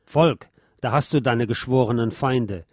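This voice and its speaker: A man with a deep voice reading a scripted essay aloud in a measured, formal, literary manner. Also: darkish tone, breathy edge, dry recording, studio quality. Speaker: host reading essays on history.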